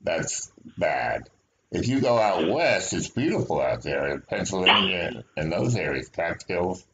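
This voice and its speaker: Deep-voiced older man from New Jersey. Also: big pitch swings, measured pace, a bit bright, a little hiss or hum, rough recording. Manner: low-key, modest, self-deprecating. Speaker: podcast guest. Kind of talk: casual conversational chat.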